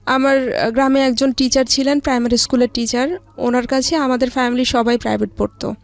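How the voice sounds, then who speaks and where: A woman recounting her own life story as an interview guest, with halting, hesitant delivery. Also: fairly high pitch, flat delivery. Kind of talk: personal life-story narration.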